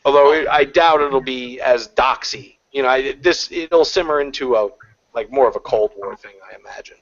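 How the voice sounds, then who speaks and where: A man's voice: medium pitch, moderate pace, a bit bright, no echo. A man in a casual online panel chat, rambling with lots of fillers and restarts. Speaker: panel guest. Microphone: video call. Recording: lo-fi online call audio.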